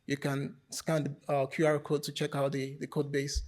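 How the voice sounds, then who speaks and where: A man giving an informal lightning talk at a conference as a software developer, sometimes repeating his words. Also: on the high side, completely flat delivery, moderate pace, heavy background noise. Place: conference stage.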